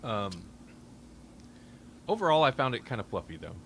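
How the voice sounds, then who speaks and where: A man's voice: medium pitch, very animated, moderate pace, a little hiss or hum. A man co-hosting a podcast, talking through a casual review in a hedging, think-aloud way. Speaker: podcast co-host.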